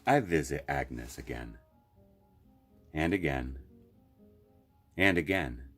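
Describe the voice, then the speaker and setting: A man reading a children's picture book aloud as a library storyteller, with deliberate phrasing and long pauses. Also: very deep voice, animated, fairly bright tone, faint music behind.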